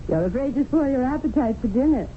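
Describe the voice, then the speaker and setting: A woman's voice: sits high, lively intonation, fast. An actress playing a genteel, tender-hearted, headstrong lady of the Raj in an old-time radio drama, precise and theatrical. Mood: polite, probing. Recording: tinny old radio broadcast, faint noise.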